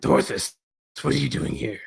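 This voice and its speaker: A man voicing an exhausted, gravelly-voiced earthen creature in a tabletop game, short and curt. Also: moderate pace, lightly acted. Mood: weary. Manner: panting, curt.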